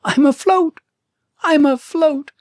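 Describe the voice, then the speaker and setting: A man's voice: very high voice, slow, darkish tone, no room sound, studio quality, pitched above the performer's own voice. A man voicing a young princess in a storybook, cool, nonchalant, sleepy and condescending. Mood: excited. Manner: nonchalant, coolly detached.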